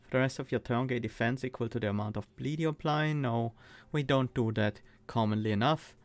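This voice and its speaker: A man with a Swiss accent, streaming a card game and thinking aloud, reading cards and weighing choices with pauses and fillers. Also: medium pitch. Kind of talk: casual gameplay commentary.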